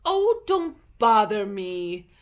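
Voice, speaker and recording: A woman voicing a curt, dismissive Duchess from a storybook, blunt and drawn-out. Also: medium pitch, sing-song. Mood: grumpy.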